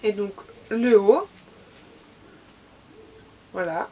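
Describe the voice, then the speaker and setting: A woman's voice: mid-range voice, lively intonation, very slow, dark tone, husky, poor audio. A young woman with a cold, chatting casually in a vlog and showing her gifts, with lots of fillers and restarts. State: has a cold. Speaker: vlogger. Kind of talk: casual gift haul vlog.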